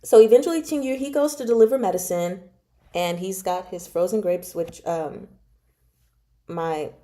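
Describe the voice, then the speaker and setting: A woman chatting casually as she retells a story, in an animated, giggly, slangy way that often wanders off track. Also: on the low side, normal speed. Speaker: book club vlogger. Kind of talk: casual chat retelling a novel.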